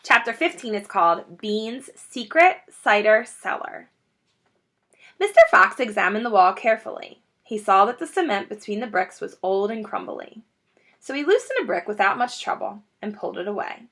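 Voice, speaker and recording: A woman reading a children's book aloud as an expressive storyteller. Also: medium pitch, normal speed. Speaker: story reader.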